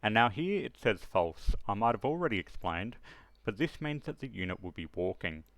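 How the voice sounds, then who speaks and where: Low-voiced man walking through a tutorial. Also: very animated, normal speed, fairly bright tone, no room sound, rough recording. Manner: step-by-step, informal explaining. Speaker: tutorial presenter. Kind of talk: casual screen-recorded software tutorial narration.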